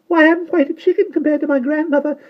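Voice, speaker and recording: A reader voicing a tiny, ancient, bossy shopkeeper woman with a shrill, high little voice and a cackling manner, in a storybook reading. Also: steady tone, quick pace, highs cut off, natural voice. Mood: chattering. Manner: cackling, swings from sweet to fierce.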